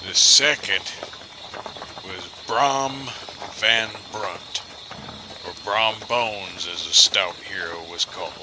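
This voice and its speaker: A folksy storyteller guide acted by a man in an audio drama, with a lowish voice and very slow, deliberate pacing. He is wry and theatrical with an ominous edge, over clear outdoor noise. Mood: knowing, ominous.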